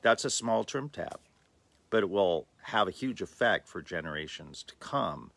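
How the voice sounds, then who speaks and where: A man in his sixties from the Los Angeles area, giving a slow, thoughtful personal talk with many pauses and fillers. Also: low voice, lively intonation, vocal fry, a bit bright, dry recording. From Los Angeles area, USA. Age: 60s. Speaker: livestream host.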